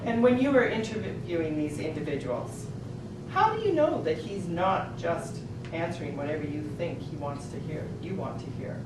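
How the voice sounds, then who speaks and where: A woman's voice: on the low side, very animated, moderate pace. A woman in an amateur stage play, playing a skeptical prosecutor with a pointed, questioning manner. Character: skeptical, challenging female courtroom prosecutor. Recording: echoey distant room recording, clear background noise.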